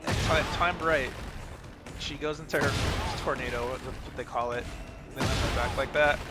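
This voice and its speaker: A man's voice: mid-range voice, steady tone, normal speed, very noisy. A man giving casual, unscripted coaching commentary on a game replay, thinking aloud in an informal, hesitant way and repeating himself. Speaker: gaming video creator giving match analysis. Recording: game music and battle sounds behind voice. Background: loud fighting game music and combat effects.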